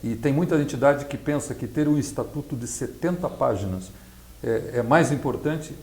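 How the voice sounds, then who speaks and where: A Brazilian man giving explanatory interview answers as a specialist, in a measured, didactic way. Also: medium pitch, a little mellow. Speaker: accountant and project specialist being interviewed. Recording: echoey, roomy sound.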